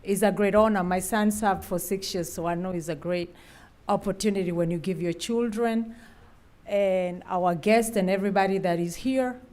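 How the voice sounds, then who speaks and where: A woman's voice: mid-range voice, moderate pace, a little mellow. A middle-aged woman from Kenya, a Navy chief speaking earnestly and gratefully at a ceremony, then calling out names. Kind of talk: ceremony speech.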